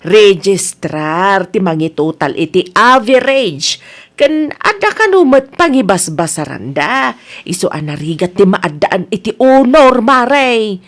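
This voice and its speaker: A woman's voice: medium pitch, fairly bright tone. A woman with an Ilocano Filipino accent acting a frank, reasonable neighbor in a radio drama. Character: frank, reasonable female neighbor who reproves her gossiping friend.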